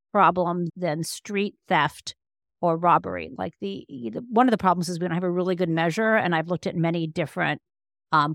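Low-pitched woman explaining in conversation. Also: no room sound, studio quality. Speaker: interview guest, law professor and author. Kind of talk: conversational explanatory interview answers.

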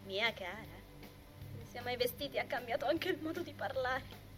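A woman's voice: fairly high pitch, lively intonation, normal speed, over music, close to an everyday voice. A woman playing a grumpy, blunt young woman in an amateur anime dub, whiny and incredulous.

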